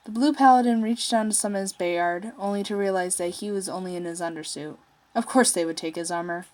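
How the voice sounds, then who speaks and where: A woman's voice: mid-range voice, brisk, darkish tone. Woman reading a story aloud. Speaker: story narrator, fan fiction writer.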